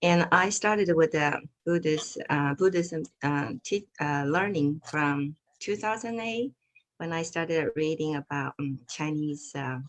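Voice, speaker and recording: A woman with a notably low voice introduces herself to her class. She pauses often and fills gaps with ums and uhs. It sounds like a video call. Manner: hesitant, many fillers. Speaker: student.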